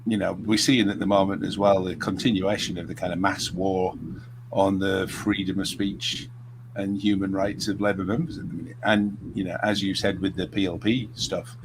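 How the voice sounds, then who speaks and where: Deep bloke hosting, says you know a lot, noisy background. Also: male voice, level tone, normal speed. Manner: rambling, frequent "you know" fillers. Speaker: host. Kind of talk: casual political chat. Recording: noticeable background noise.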